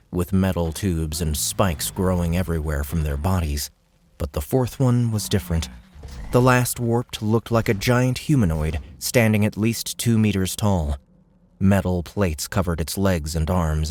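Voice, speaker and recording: A male audiobook narrator with a deep, low-pitched voice. He delivers scripted fiction as dramatic narration. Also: darkish tone.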